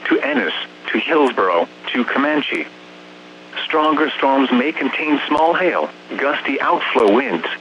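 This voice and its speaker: A dull, slightly noisy radio broadcast with a hum carries a man's mid-pitched voice. He reads a scripted weather bulletin in a formal, measured and impersonal manner.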